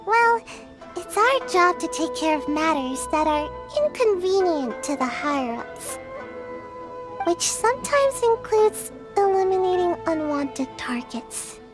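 A woman's voice: extremely high pitch, big pitch swings, a little slow, over music, exaggerated voice. A woman voicing a small, sleepy young girl ninja in a video game, reluctant and evasive, with a drowsy, hesitant manner. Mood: matter-of-fact. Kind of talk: video game character dialogue.

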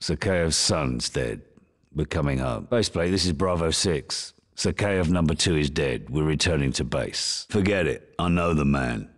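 A male actor playing a gruff, commanding British special forces captain in a military shooter game, giving terse, authoritative orders and radio calls. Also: rumbling low, expressive, a little slow, full character voice. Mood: low, measured.

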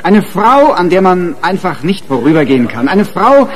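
An actor playing a playful, pompous party speechmaker giving a declamatory, teasing toast in a radio drama. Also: male voice, high voice, very animated, moderate pace. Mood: playful, mock-solemn. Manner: declamatory, teasing, repetitive build-up.

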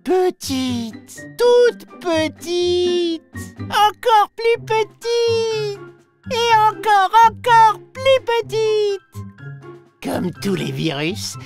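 A woman's voice: on the high side, gravelly, exaggerated voice. A woman voicing a boastful, teasing little virus villain who plays to children in an educational cartoon. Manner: teasing, theatrical, exaggerated. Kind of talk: animated educational narration for children. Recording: music behind the voice.

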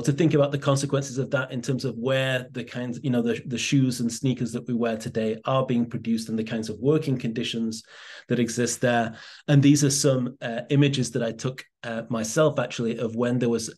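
A man's voice: medium pitch, darkish tone. A man giving an academic talk on a video call, hesitant, with many fillers and restarts. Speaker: presenter.

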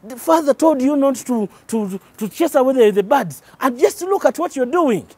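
Man doing a young boy, reproachful, high-ish, storybook. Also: expressive, normal speed, a little mellow, breathy edge, no echo, full character voice.

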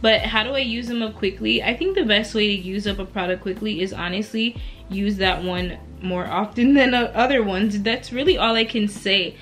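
A woman in her early twenties chatting casually through a Q&A vlog while lying back on her bed at home, relaxed and laughing often between answers. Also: medium pitch, moderate pace, soft background music. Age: 20s. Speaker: beauty and lifestyle YouTuber.

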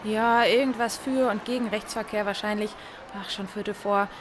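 A woman's voice: mid-range voice, steady tone, moderate pace, natural voice. A woman playing a grumbling, cynical office worker in a German radio play. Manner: grumbling, dismissive. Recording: faint background noise.

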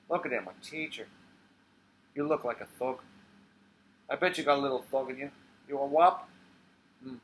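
A man's voice: mid-range voice, fairly bright tone, a little hiss or hum, close to an everyday voice, low-quality recording. A man reading a stage play, voicing a tired, streetwise old bar regular in short, blunt, profane phrases. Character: tired, profane, streetwise old male barfly rambling to strangers. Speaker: playwright reading his own play. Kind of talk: dramatic monologue reading.

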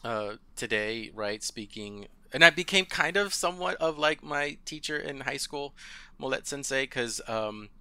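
A man's voice: medium pitch, lively intonation, a little slow, bright, forceful tone, clear, resonant tone, no echo. A man in his thirties, a guest on a podcast, chatting casually over a video call, rambling with lots of ums and frequent laughs. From United States. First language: Spanish. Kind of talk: casual interview chat.

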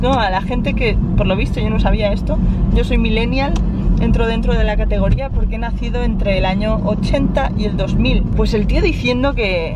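A young woman in her twenties with a Spanish accent from Spain, chatting bluntly and with animation in a vlog filmed inside a car, using slangy asides. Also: mid-range voice. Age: 20s. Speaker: YouTuber. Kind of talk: casual vlog chat. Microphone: camera mounted on the dashboard. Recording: dull audio with loud car noise.

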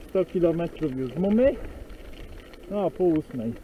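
A man with a Silesian accent chatting casually and slowly while cycling outdoors on country roads, recorded on a bike camera. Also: on the high side, slight background noise, poor audio. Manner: slow, rambling, relaxed chat with pauses. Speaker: cycling vlogger. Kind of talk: casual spoken commentary while riding. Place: outdoors on a bike on rural roads and forest tracks.

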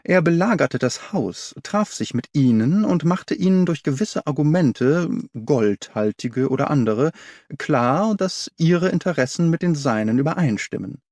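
A man voicing a shrewd, composed gentleman detective in an audiobook story, speaking formally and courteously as he reasons. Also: a little high, lively intonation, a little slow, dry recording, clean studio sound. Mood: deductive, assured.

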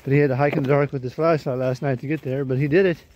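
A man's voice: mid-range voice, steady tone, racing, darkish tone, highs cut off. A man in his forties vlogging casually from a wooded mountain trail, phone held at arm's length, talking easily. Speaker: thru-hiker vlogger. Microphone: phone camera at arm's length.

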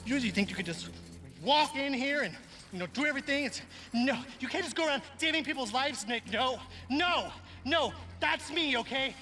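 Shrill nerdy wannabe hero, frantic. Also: male voice, animated, normal speed, bright, forceful tone, full character voice. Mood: indignant, frantic. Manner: stammering, boastful, frantic. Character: nerdy, jealous young male wannabe hero, insecure braggart. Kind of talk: video game cutscene acting.

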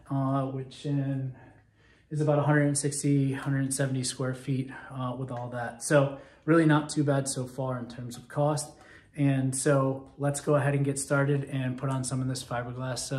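A man's mid-pitched voice walking viewers through a build in a bare, echoing wooden loft, the sort heard on a weekend workshop vlog. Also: darkish tone. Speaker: DIY woodworker presenting a project video. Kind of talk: explanatory vlog to camera. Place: large empty timber barn loft, indoors. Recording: roomy echo, faint background noise and clicks.

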